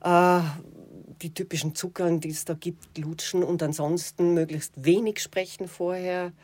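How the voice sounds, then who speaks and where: A woman with an Austrian accent, a radio and television presenter, answering interview questions in a thoughtful way with many pauses and fillers. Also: lowish voice, fairly even intonation, a little mellow.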